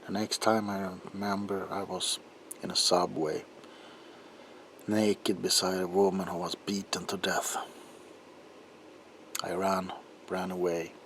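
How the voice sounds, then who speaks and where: A man playing an anguished, guilt-ridden doctor confessing in an ASMR roleplay monologue, halting and intense, with a breathy voice that often drops into a whisper. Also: low-pitched, little pitch movement, a bit bright, slight background noise, exaggerated voice. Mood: grim remorse. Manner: halting, haunted, intense, broken phrases.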